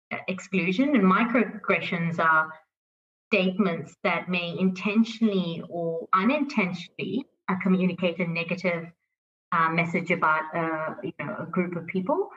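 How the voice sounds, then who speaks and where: A woman from Sri Lanka, a team manager speaking slowly and thoughtfully, pausing often on fillers in a workplace conversation. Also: lowish voice, fairly bright tone, muffled recording. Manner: slow and thoughtful, with many fillers.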